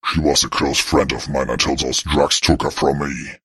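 Very deep, raspy ghoul donkey. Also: male voice, little pitch movement, normal speed, no room sound, studio quality, heavily acted. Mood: sombre. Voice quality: harsh, gravelly rasp. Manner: bitter, brooding, forceful. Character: embittered old male ghoul donkey, menacing and mournful. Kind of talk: audiobook character voice.

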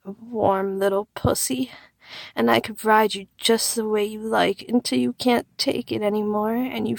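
A woman speaks in a slow, seductive murmur with a husky, breathy voice and some fry at the edges. It is an intimate erotic audio monologue, recorded close to the mic, often slipping toward a whisper. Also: medium pitch, no room sound. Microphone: close microphone, dry.

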